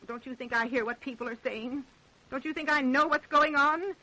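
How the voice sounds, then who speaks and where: An American actress, a woman, playing a defensive, indignant middle-aged mother in an old-time radio drama, with a sharp, theatrical delivery. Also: high voice, moderate pace, poor audio. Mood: bitter, defensive. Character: defensive, indignant mother suspected of murdering her daughter.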